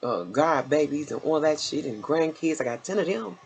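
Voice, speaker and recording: A woman's voice: sits low, animated, moderate pace, vocal fry, a little mellow. A woman, chatting casually as an interviewer in a blunt, familiar and encouraging way. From Chicago, United States. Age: 50s. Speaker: interviewer and host.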